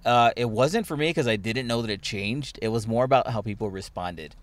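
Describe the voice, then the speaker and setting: A man's voice: medium pitch, a little fast. A young man hosting a podcast, telling stories in casual chat with lots of fillers and restarts. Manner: rambling storytelling, many restarts and fillers. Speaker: podcast host.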